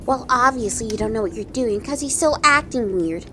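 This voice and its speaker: A woman playing a brash, sharp-tongued goblin in a fantasy audio drama, blunt and scornful. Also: a little high, lively intonation, moderate pace, background noise, natural voice. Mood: scornful, impatient.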